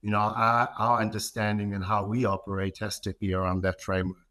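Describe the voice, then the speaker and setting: Low-voiced South African man. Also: flat delivery, a little fast, sharp tone. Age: middle-aged. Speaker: podcast guest, marketing executive. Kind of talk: conversational interview answers.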